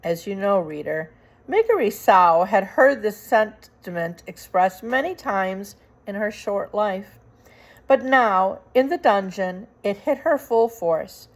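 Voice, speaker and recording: Slow, animated woman storyteller. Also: mid-range voice. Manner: dramatic, deliberate phrasing. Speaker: storybook reader. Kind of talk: expressive children's story narration.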